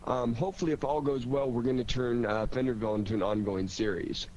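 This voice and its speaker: Man, middle-pitched voice, conversational, rambling, enthusiastic, slightly noisy humming recording. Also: steady tone, fast, darkish tone, muffled recording. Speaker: writer and creator of a radio drama series. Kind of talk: casual conversational interview talk. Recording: noisy recording with background hum.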